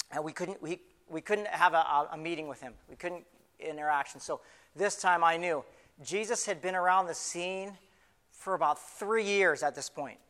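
Guy doing a blind beggar telling his bible story, awestruck, bright forceful voice, echoes in a big hall. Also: sits high. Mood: earnest, proud. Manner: halting, repeats phrases, conversational storytelling. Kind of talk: first-person dramatic monologue, retelling a Bible story. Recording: live in a large reverberant hall.

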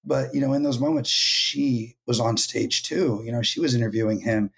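Hoarse male writer guest, husky and strained, thoughtful pauses and many ums. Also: mid-range voice, low-quality recording. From California. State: hoarse, lost voice. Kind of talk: casual podcast interview.

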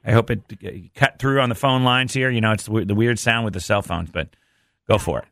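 A man's voice: on the low side, steady tone, fast talker, creaky, a bit bright, no room sound, studio quality. A man hosting a morning radio show, bantering casually and jokily with callers. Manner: jokey, informal.

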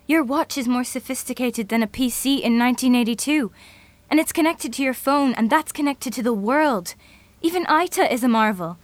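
Actress playing an idealistic woman futurist, high voice, earnest, reflective, dry close sound, audio drama. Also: normal speed, no room sound, lightly acted. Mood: thoughtful, earnest.

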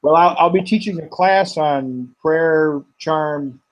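A man, a poet and guest, speaking slowly and haltingly with many fillers in an interview. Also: fairly high pitch, lo-fi sound. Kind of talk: interview and poetry reading.

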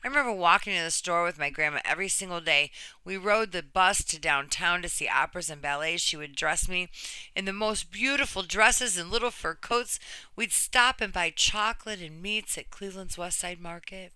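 Female reader for a personal true story. Crisp, smooth tone, slightly low-voiced for a woman, earnest delivery, very dry recording. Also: moderate pace, sharp tone, no room sound. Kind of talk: reading a personal written story aloud.

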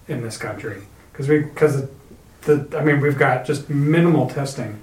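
A man's voice: medium pitch, moderate pace, darkish tone. A man co-hosting a podcast in casual chat, blunt and swearing freely, with restarts as he goes. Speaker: podcast co-host. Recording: roomy with faint noise.

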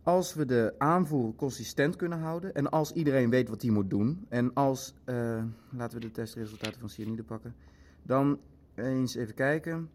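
A man's voice: medium pitch, moderate pace, a little mellow, close to an everyday voice. A man playing an eager, businesslike Nazi official in a Dutch radio play, matter-of-fact as he calculates. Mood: businesslike, calculating.

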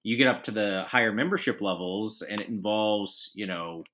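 A man's voice: fairly low pitch, moderate pace, fairly bright tone, a little hiss or hum. A man hosting a casual podcast chat, rambling through his thoughts with many fillers and restarts. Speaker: podcast host.

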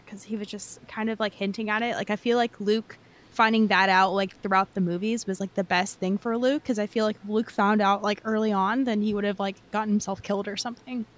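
Rambling woman podcast host, throaty. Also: medium pitch. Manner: enthusiastic, rambling, swears casually. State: throaty from coughing. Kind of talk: casual podcast chat.

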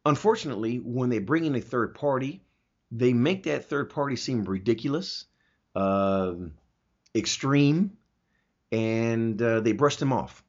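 A man with a rough, husky voice at a medium pitch talks as a show host. He explains his views with emphasis and often asks rhetorical questions. The audio is dull and a little lo-fi. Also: a little slow. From Germany. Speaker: talk show host answering listener questions. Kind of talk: opinionated conversational commentary.

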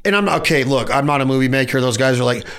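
Excitable man pushing fifty. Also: mid-range voice, fast talker, clean tone. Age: 40s. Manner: excitable, voice jumps up when making a point. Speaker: podcast co-host. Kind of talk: casual chat.

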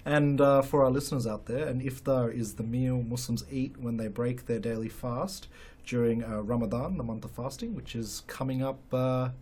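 A man co-hosts a casual podcast, explaining things to listeners in a steady, measured way. He leans on drawn-out fillers and pokes gentle fun at himself. His voice sits at an ordinary middle pitch. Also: breathy edge. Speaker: podcast co-host. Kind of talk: casual studio chat.

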